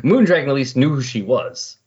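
A man's voice: medium pitch, big pitch swings, fairly quick, a little mellow, slightly rough, lo-fi sound. A man talking casually as a podcast co-host in a rambling, conversational way about comics.

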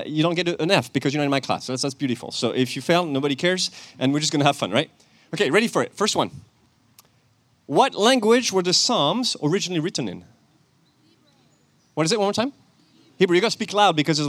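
A man with a French accent gives a chatty sermon outdoors, quizzing the congregation and laughing often. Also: on the high side. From France. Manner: animated, chatty, laughs often, quick with self-corrections. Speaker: guest preacher, church elder and chemist. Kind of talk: lively outdoor sermon with audience questions. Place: outdoor church service with planes overhead.

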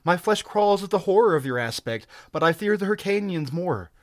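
A man's voice: high-pitched, animated, moderate pace, dry recording, studio quality, pitched above the performer's own voice. A man voicing a frightened captive woman in a story reading, wailing and pleading with urgency. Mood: terrified, pleading. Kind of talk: dramatic audiobook character reading.

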